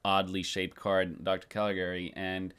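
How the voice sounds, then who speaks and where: A man guesting on a podcast, talking over a film in a thoughtful, halting way with repeated words. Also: sits low, monotone, a bit bright, clear, resonant tone. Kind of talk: casual film discussion.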